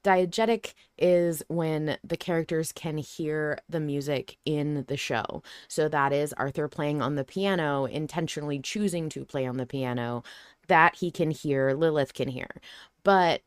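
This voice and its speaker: Enthusiastic lady podcast host, low clear voice, really dry close sound, chatting casually. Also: measured pace, dry recording. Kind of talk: casual podcast discussion with an introduction.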